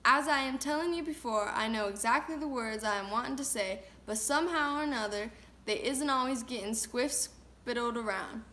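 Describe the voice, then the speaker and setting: A woman doing a gentle bumbling giant. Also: a little high, normal speed, a little hiss or hum. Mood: fretful. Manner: muddled grammar, made-up words. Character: kind big male giant, gentle, jumbled words.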